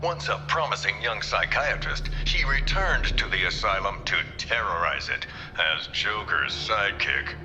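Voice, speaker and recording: A man's voice: lowish voice, lively intonation, measured pace, in a large hall, over music. A dramatic TV newsman. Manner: dramatic, declaiming. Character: male TV newsman, dramatic presenter. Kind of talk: broadcast-style narration.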